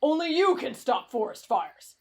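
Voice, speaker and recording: A woman's voice: sits high, sing-song, normal speed, a little mellow. A woman voicing a brash, reckless teenage boy in an anime-style roleplay drama, cocky, blunt and dismissive. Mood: blunt, scornful. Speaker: voice actor in roleplay audio.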